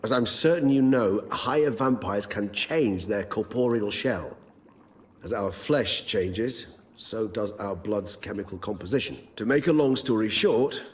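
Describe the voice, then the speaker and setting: An erudite, wordy gentleman vampire. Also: on the low side, slightly rough. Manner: wordy, formal, precise and measured. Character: erudite, courteous vampire scholar, wry and cautious. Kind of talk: video game dialogue acting. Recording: music behind the voice.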